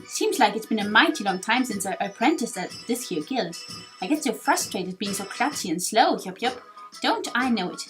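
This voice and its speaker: A woman's voice: medium pitch, animated, moderate pace, fairly bright tone, faint music behind, lightly acted. A woman voicing an earnest, self-deprecating, folksy bumbling creature reading its journal in a video game.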